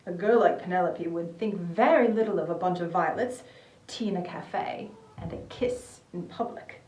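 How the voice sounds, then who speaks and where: A woman's voice: lowish voice, normal speed, darkish tone, lo-fi sound, natural voice. A woman playing a reserved, genteel lady with a guarded manner in an amateur dramatized novel reading, recorded in a roomy space. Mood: private musing. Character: reserved, genteel Englishwoman, secretly in love. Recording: roomy, distant microphone.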